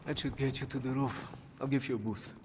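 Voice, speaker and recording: A man's voice: mid-range voice, fairly even intonation, fairly quick, noisy background, lightly acted. An actor playing an anxious, tender young Soviet man, hesitant and emotional, with a Russian accent, in a dramatic video game scene. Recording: music behind the voice.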